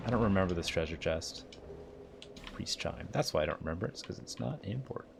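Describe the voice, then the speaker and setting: A man's voice: low voice, a little fast, creaky, noisy background. Chatty man with Southern-Midwestern twang. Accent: Southern and Midwestern American. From United States. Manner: chatty, excitable, self-mocking, rambling. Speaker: livestreamer. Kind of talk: casual live gameplay commentary.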